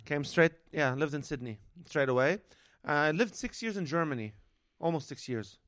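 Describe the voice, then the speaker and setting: A man's voice: a little high, animated, normal speed, fairly bright tone. A man in his forties from Lebanon, a comedian chatting and joking as a podcast guest in a motel room. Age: 40s. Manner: chatty, jokey storyteller. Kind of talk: casual podcast chat.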